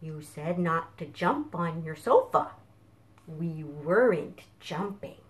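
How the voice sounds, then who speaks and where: Silly, eager storybook cows , performed by a woman, who love fun. Their phrases stretch out slowly into singsong and swoop up and down. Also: deep voice, animated, pitched below the performer's own voice. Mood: sheepish. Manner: drawn-out singsong cheers. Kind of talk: animated children's storybook reading.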